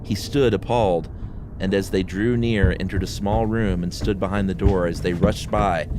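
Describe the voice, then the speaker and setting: A man co-hosting a podcast, telling horror stories in an expressive, theatrical way and chatting casually. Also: low-pitched, moderate pace, noisy background. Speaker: podcast co-host. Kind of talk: dramatic reading of a horror story and casual chat. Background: music.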